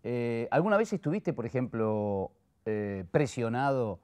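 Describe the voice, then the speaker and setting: A man's voice: mid-range voice, big pitch swings, unhurried, a little mellow, clean tone. Argentine male interviewer, searching for words. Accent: Argentine, Rioplatense. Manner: hesitant, searching phrasing with repeated words. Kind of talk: conversational interviewing.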